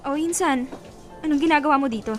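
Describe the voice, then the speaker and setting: A woman's voice: high-pitched, fast, faint music behind, lightly acted. An actress playing a heartbroken young woman whose boyfriend cheats, pleading and tearful, in a radio drama. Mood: worried.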